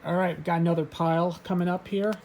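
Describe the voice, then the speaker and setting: A man casually showing his record collection, reading out titles in a halting, offhand way. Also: fairly high pitch, little pitch movement, vocal fry, darkish tone, slight background noise. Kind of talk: casual show-and-tell vlog.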